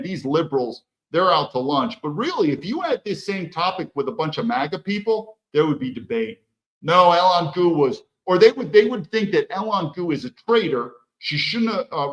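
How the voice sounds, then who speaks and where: Animated, blunt male podcast host. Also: a little high, muffled recording. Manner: blunt, emphatic, acts out imagined dialogue. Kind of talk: solo political commentary.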